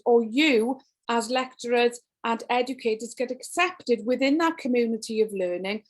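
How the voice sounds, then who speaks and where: High-voiced enthusiastic woman. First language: Welsh. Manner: enthusiastic, rhetorical questions, animated emphasis. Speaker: guest speaker to educators. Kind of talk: online teaching presentation. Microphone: video call.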